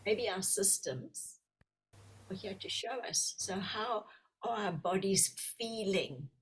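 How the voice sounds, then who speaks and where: A woman's voice: mid-range voice, lively intonation, takes it slowly, slight background noise, rough recording. A woman co-hosting a podcast, chatting casually in a reflective, joking way. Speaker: hypnotherapist, podcast co-host. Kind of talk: casual chat.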